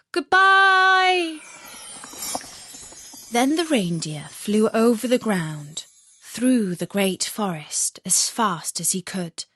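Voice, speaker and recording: A woman storyteller narrating a scripted fairy tale in a measured, expressive way. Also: mid-range voice, dry recording, clean studio sound.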